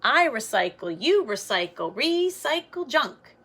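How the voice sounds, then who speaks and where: A plucky young storybook girl, voiced by a woman reading aloud. She is resourceful and spirited, declaiming in a sing-song way. The voice is bright and swings widely in pitch, at an unhurried pace. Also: big pitch swings, breathy edge, full character voice. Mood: joyful sing-song.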